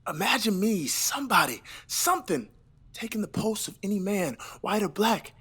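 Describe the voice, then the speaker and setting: A man's voice: sits high, big pitch swings, normal speed, fairly bright tone, husky, heavily acted. A young man playing an earnest, ambitious newlywed husband in an audio play set in the 1920s, with a hesitant, dreamy manner. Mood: dreamy, fervent. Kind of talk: stage play audio reading.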